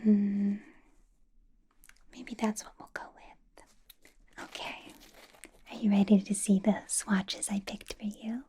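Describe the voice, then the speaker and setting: A woman voicing a possessive, teasing female vampire boss in an ASMR roleplay, whispering softly in a playful, controlling way. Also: mid-range voice, flat delivery, slow, pauses often, breathy edge. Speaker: ASMR artist.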